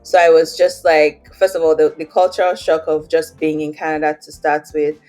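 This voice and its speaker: A woman's voice: low voice, level tone, normal speed, darkish tone, soft background music. A young woman with a Nigerian accent, telling her story as an interview guest in a chatty way, with many fillers. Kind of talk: casual interview, personal story.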